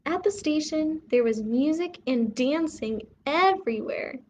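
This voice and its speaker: Lady reading a kids bedtime story, high voice, takes it slow, asks the listeners questions. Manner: expressive, addresses child listeners with questions. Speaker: children's story reader and host. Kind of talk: bedtime story read-aloud for young children.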